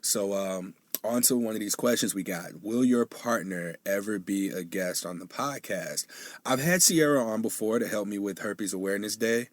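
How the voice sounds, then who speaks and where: Stuffy-nosed guy doing a solo podcast, lowish voice, dry close mic, chatty and full of ums. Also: normal speed, dry recording. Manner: casual and chatty, with frequent fillers. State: nasal and sniffly from a coming cold. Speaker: podcast host.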